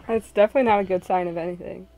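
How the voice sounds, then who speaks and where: A woman's voice: lowish voice, fairly even intonation, very fast, warm tone, soft background music, low-quality recording. A woman hosting a group game stream, chatting casually with the others. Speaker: host and narrator of a group visual novel stream.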